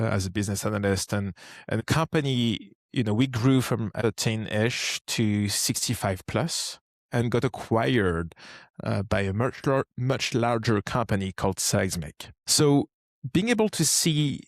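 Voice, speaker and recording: A man in his thirties with a French accent, speaking English as a second language, chatting as an interview guest. He talks in a relaxed way, thinking aloud with frequent restarts. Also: on the low side, moderate pace, no echo, clean studio sound. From France. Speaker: guest, startup co-founder. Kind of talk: casual interview conversation.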